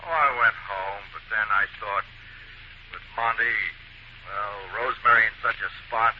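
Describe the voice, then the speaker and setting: A man's voice: fairly low pitch, fairly even intonation, moderate pace, close to an everyday voice. On a dull, faintly noisy old radio recording, an actor plays an insinuating man at a late hour. He wheedles and teases with the staged menace of a 1940s thriller. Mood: wheedling. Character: smooth-talking, insinuating brother-in-law prowling at night.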